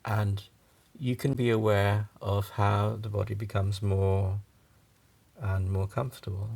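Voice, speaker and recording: A man guides a relaxation meditation in a fairly deep voice with a pronounced creak. He speaks very slowly, in short halting phrases with long pauses. His manner is gentle and reassuring. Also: little pitch movement. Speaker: yoga nidra meditation guide.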